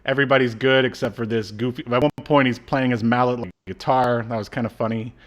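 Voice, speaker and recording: A man's voice: medium pitch, fairly even intonation, fast, a bit bright. A man in his thirties co-hosting an informal review chat, talking in a casual, joking way. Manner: jokey, informal. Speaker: podcast co-host. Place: indoor room, on a video call.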